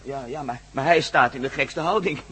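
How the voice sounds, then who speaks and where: A man's voice: medium pitch, normal speed, pushed and bright, slight background noise, lightly acted, rough recording. An actor playing a hesitant, stammering male friend in an old radio play. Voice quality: stammering, nervous giggling.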